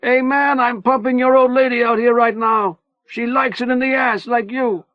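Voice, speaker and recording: A man's voice: high-pitched, unhurried, no echo, lo-fi sound, pitched above the performer's own voice. A man voicing a wild young man who screams crude taunts over a prison wall, furious and nearly incoherent. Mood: taunting.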